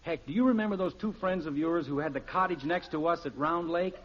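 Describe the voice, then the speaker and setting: A man's voice: on the high side, brisk, natural voice. An actor playing a grumbling, stubborn middle-aged American father, wry and exasperated, in a 1950s radio sitcom. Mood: pleading, exasperated. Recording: muffled, faintly noisy old radio recording.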